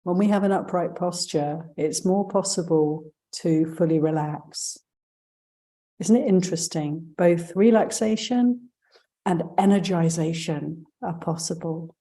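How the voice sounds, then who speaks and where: A woman leading a guided meditation as a teacher, giving gentle, unhurried instructions with pauses. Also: on the low side.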